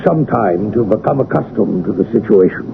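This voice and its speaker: On a tinny old radio broadcast, a possessive gentleman schemer. His gravelly voice swings widely in pitch, halting and mannered as he pleads. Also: expressive. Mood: pleading, controlled. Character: intense, possessive male villain posing as contrite brother. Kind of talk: 1970s radio drama acting. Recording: narrow-band vintage radio, faint hiss.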